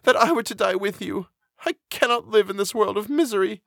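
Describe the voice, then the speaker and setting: A devoted young gentlewoman in distress, as a male narrator plays her, raised well above a man's speaking pitch. She speaks earnestly and plaintively, in the formal phrases of a classic novel. The sound is very dry. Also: fairly quick, creaky, no room sound. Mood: anguished. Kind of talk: dramatic audiobook character reading.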